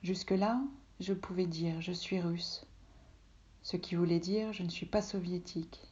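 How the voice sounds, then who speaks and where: Soft-spoken woman, mellow low voice. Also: steady tone, a little slow, highs cut off. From Russia. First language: Russian. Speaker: reader, translator. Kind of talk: literary reading. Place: home office.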